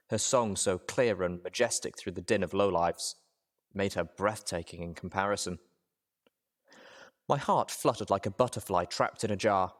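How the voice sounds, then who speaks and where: A man reading a first-person adventure story aloud as a dramatic narration. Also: low-pitched. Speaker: audiobook reader, show host.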